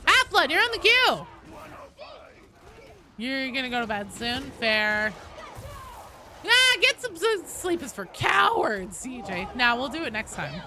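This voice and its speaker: A woman's voice: high-pitched, sing-song, unhurried, long pauses, noisy background. A woman livestreaming a game, chatting casually in a rambling, sweary, self-deprecating way. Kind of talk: casual gaming stream chat and commentary.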